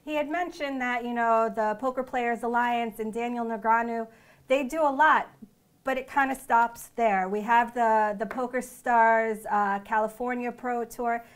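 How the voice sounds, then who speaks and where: A woman's voice: a little high, fairly even intonation, unhurried. A young woman co-hosting a casual discussion in an office, chatty and often restarting her sentences. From New York. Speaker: co-host. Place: open-plan office, indoors.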